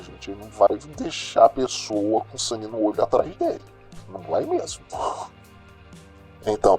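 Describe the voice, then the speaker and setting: Casting: male actor as a streetwise Brazilian radio crime journalist, dark mellow mid-range voice, colloquial and opinionated, music underneath. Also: medium pitch, breathy edge, exaggerated voice. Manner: colloquial, opinionated, dramatic, addressing listeners directly. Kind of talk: radio news commentary in an audio drama.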